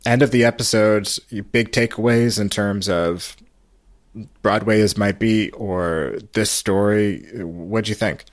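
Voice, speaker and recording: A man in his thirties hosts a casual podcast in a notably low voice. The sound is close and dry. He explains things informally, in a conversational, informative way. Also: steady tone, slow, no room sound. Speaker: podcast host. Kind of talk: casual conversation, explaining old radio trivia.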